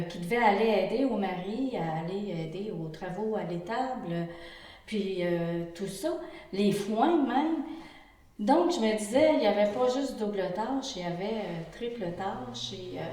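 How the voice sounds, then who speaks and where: An earnest Québécois woman in her sixties gives her testimony, pausing and restarting as she searches for words. She sits some distance from the microphone. The room around her is very echoey. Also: mid-range voice, a little mellow. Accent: Québécois French. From Quebec. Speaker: interview participant. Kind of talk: reflective group interview testimony. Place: indoor room with a pump running.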